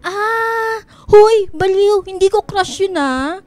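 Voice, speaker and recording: A woman's voice: very high voice, slow, faint music behind. A woman with a Filipino accent playing a playful, self-conscious young best friend in a radio drama, girlish and whiny as she teases.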